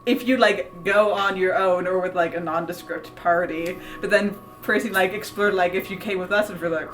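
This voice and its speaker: A woman's voice: fairly low pitch, steady tone, moderate pace, fairly bright tone. Chatty woman playing a tabletop game. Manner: chatty, peppered with "like". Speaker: player. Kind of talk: casual tabletop game chat. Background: music.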